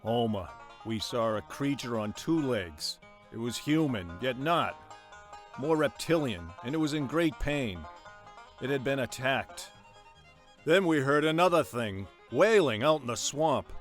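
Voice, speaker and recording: A man's voice: mid-range voice, expressive, unhurried, faint music behind, close to an everyday voice. A man playing an earnest, indignant scoutmaster with melodramatic delivery in a radio drama. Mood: indignant, urgent.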